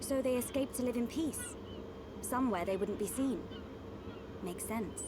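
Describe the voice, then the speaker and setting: An actress playing a thoughtful young crown princess in a game, well-spoken. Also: female voice, on the high side, measured pace, noisy background, close to an everyday voice. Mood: reflective. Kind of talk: video game cutscene acting.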